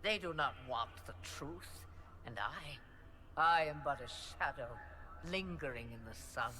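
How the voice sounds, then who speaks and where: A woman playing an ancient, cryptic witch mother in a video game, speaking in a deliberate, theatrical and ominous way. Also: low voice, lively intonation, sharp tone, heavily acted. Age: older. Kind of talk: dramatic video game acting.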